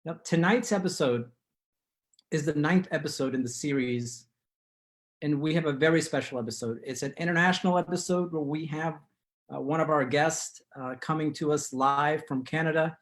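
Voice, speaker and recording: A man hosting an online community talk show from his living room on a video call, speaking in a formal, measured way. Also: a little high. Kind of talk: scripted-sounding show introduction and interviewing.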